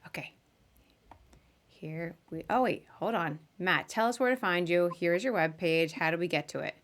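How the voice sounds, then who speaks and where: A woman with a slightly low voice hosts a live podcast interview. Her delivery is chatty and informal, like a casual conversation with a guest. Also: normal speed. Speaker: podcast host.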